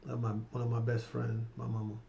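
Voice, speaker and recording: A man in his fifties with a gravelly, dark voice talks to camera in a loose, rambling, heartfelt way. He keeps checking in with his viewers. The sound is a little dull, like a plain home video recording. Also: mid-range voice, completely flat delivery, fast talker, slight background noise. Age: 50s. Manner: rambling, repeats "right?" and "you guys"; warm and heartfelt, emotional about his mother. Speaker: finance vlogger. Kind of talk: casual motivational talk to camera.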